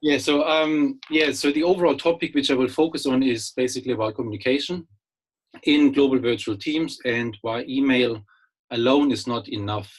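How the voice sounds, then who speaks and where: A man's voice: medium pitch, steady tone, moderate pace, rough recording. A middle-aged man from Germany with a German accent, giving a friendly, hesitant webinar talk as a business lecturer over a video call. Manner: hesitant, many fillers and restarts, friendly. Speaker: guest speaker, business lecturer. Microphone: computer mic on a video call.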